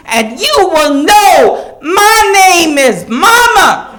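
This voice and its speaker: A man voicing his fierce small mother as she acts out a mock scripture speech, drawn out and booming. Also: sharp tone, exaggerated voice. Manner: booming, drawn-out preacher delivery.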